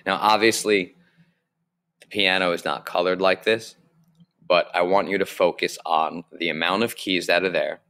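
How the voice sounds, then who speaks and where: A young man with a low voice explains music basics slowly and clearly, teaching a recorded online lesson from a small room on his webcam mic. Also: fairly even intonation, pushed and bright.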